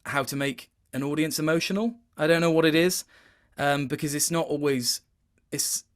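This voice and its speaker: A man's voice: on the high side, moderate pace, clean tone. A man giving a casual, reflective interview as a guest, speaking thoughtfully and often rephrasing as he searches for words.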